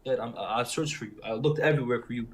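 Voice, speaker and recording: A man's voice: mid-range voice, rapid-fire, slight background noise. A young man from Syria, raised near Detroit, with a slight Arabic accent, calling in to a casual debate, rambling and repeating himself insistently. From born in Syria, raised in Detroit area. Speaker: caller.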